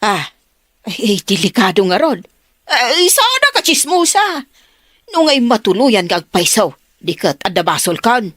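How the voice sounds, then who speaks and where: A woman acting an excitable gossip in an audio drama, with a Filipino Ilocano accent. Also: a little high, measured pace, no echo, studio quality, full character voice. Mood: flustered.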